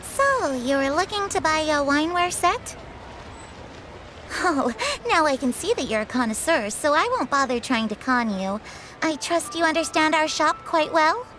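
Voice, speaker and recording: An actress playing a polite, smooth-talking antique seller in a video game, courteous and flattering. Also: female voice, high voice, moderate pace, slight background noise. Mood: businesslike. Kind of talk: video game character acting.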